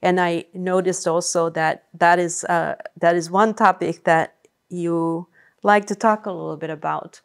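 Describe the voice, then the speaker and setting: A woman hosting an interview, asking measured questions and rephrasing them as she goes. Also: fairly low pitch, fairly even intonation, vocal fry. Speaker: podcast host.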